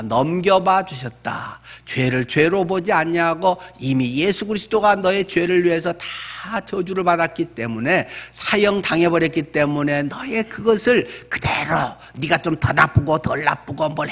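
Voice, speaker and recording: Man, around sixty, pastor, sermon, slightly high pitch, conversational explaining, rhetorical questions. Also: a bit bright.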